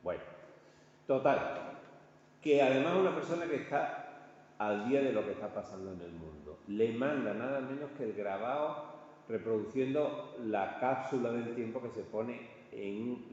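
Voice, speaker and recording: Deep-voiced Spanish man lecturing in an echoey hall. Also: a little mellow. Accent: Spanish from Spain. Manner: halting, conversational, often checking with listeners. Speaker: art history lecturer. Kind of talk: informal illustrated lecture to an audience. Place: large reverberant indoor room. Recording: echoey hall, distant muffled sound, faint noise.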